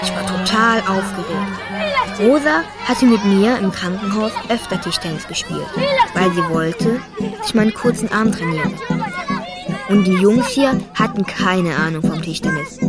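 A woman plays a young boy telling his own story in a radio drama. The delivery is earnest, simple and reflective, at a middling pitch. Loud music and crowd bustle sit behind the voice. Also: unhurried, close to an everyday voice. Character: young Afghan refugee boy narrating his childhood, earnest. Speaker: narrator. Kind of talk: scripted radio play narration.

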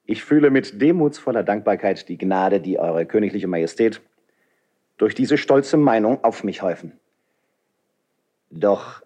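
An actor playing a proud, fervent, idealistic nobleman in a classical verse radio drama, with deliberate declamatory phrasing. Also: fairly low pitch, expressive, dark tone. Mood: guarded, courteous.

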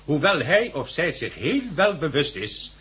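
Elderly Dutch male professor, lively swooping highish voice, florid lecturing, 1960s radio play. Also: unhurried. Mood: earnest, didactic. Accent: Dutch, Netherlands. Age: older. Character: elderly male sociology professor, pedantic and eloquent. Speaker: radio drama actor.

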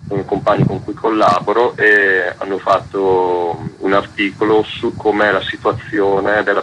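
Low-voiced man over noticeable noise. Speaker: interviewed zine and label founder. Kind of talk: spoken interview, explanatory.

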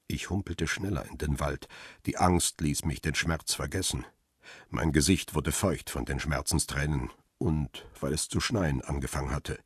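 An actor playing a grim male photographer who narrates a crime radio drama in a measured, terse, husky voice. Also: extremely low pitch, no echo, clean studio sound. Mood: grim, tense. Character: middle-aged male photographer Peter Lime, grim first-person narrator.

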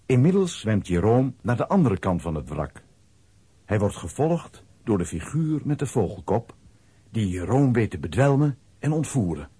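A man with a husky voice narrating a scripted children's audio play as storyteller. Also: fairly low pitch, sing-song, normal speed, warm tone, no echo.

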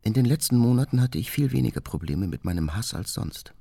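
An actor playing an embittered, vengeful bomber hermit reading his secret diary in a radio drama, gravelly and husky with controlled anger. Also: medium pitch, lively intonation, brisk, dark tone, no room sound, clean studio sound. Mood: brooding, vengeful.

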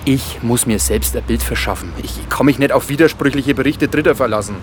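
A man's voice: mid-range voice, brisk, music in the background, lightly acted. A man playing a stubborn, indignant police inspector in a radio drama, insistent and official. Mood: determined. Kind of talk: modern German radio drama acting.

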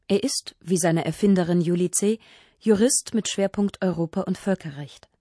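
Low-voiced woman narrating a radio feature. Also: dark tone, no echo, studio quality. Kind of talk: scripted narration.

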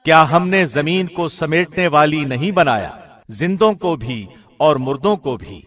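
A man reading a scripture translation in a formal, measured, solemn radio-host delivery. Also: on the high side, expressive, a bit bright.

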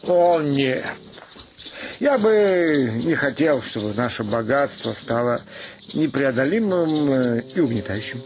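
A high-minded, hesitant fellow in a radio drama. He speaks very slowly and loftily at a medium pitch, with noisy ambience behind him. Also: lively intonation. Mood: hesitant, lofty. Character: high-minded, hesitant man wary of riches. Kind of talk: radio play acting.

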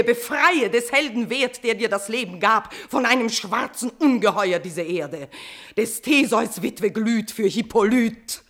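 An actress playing a tormented, lovesick queen in a classical verse radio tragedy, declaiming with passion and slipping between breathy whispers and swelling outbursts. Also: a little high, a bit bright, heavily acted. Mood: desperate, frenzied.

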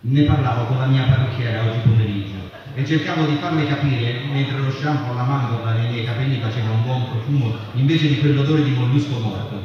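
A man's voice: medium pitch, brisk, few pauses. A man giving a staged reading of a literary text. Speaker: reader in a workers' collective. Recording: dull, distant, very echoey, crowd noise. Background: crowd noise.

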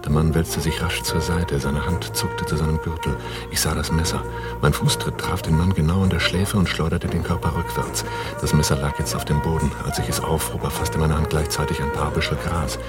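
A man's voice: a bass voice, little pitch movement, fairly quick, loud music behind the voice. A man acting a terse, hard-boiled gunrunner in a German radio drama, with a laconic way of talking. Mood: grim. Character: terse, hard-boiled male gunrunner telling his story.

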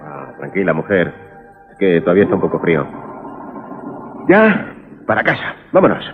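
A man's voice: sits low, huge pitch swings, normal speed, creaky, music in the background, heavily acted. An actor playing a reassuring, stubbornly hopeful father and husband in a radio drama, with an accent from Spain. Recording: noisy narrow-band old radio recording.

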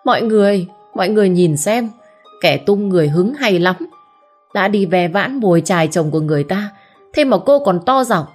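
A woman voicing a jealous village wife who scolds loudly and plays to the crowd. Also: unhurried, soft background music, natural voice. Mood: scolding. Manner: shrill, scolding, playing to the crowd.

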